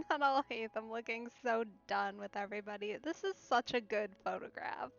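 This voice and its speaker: A woman game streamer giving casual, gushing reaction commentary full of soft exclamations. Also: on the high side, moderate pace, creaky. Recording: dull band-limited sound, music behind. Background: game music.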